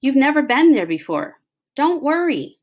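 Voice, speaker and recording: A coaxing, firm storybook husband, as a woman reader voices him, urging and cajoling with a touch of exasperation. The pitch rides up with each push. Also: sing-song, moderate pace, a little mellow.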